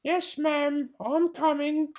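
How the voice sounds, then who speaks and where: A reader voicing a big, simple-minded woman servant, speaking slowly and plodding along in a read-aloud storybook. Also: sits high, fairly even intonation, a little mellow, pitched above the performer's own voice. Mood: dutiful.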